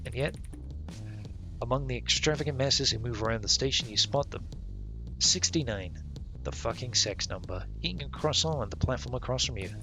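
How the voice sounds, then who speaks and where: A man's voice: medium pitch, moderate pace, music in the background. A man live-streaming a game, reading its text aloud and commenting in a casual, slangy, sweary way.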